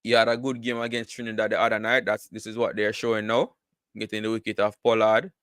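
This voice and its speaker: A man's voice: lowish voice, level tone, quick pace, a bit bright, clear, resonant tone, no echo, clean studio sound. Chatty man commentating cricket live. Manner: chatty, many repeated words and "you know" fillers. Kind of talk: casual live commentary with chat replies.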